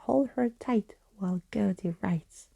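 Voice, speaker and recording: A menacing storybook ghost, acted out by a woman, moaning in clipped, broken grammar. The voice is dark and soft, with a creaky edge. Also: mid-range voice, measured pace, full character voice. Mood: triumphant menace. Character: Wardy Gertie, menacing floating ghost with broken speech.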